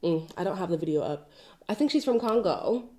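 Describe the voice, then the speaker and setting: A woman from Zimbabwe who is sick, hosting a casual pop-culture commentary show on her own, chatty and expressive, with side tangents. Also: lowish voice, brisk. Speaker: commentary channel host.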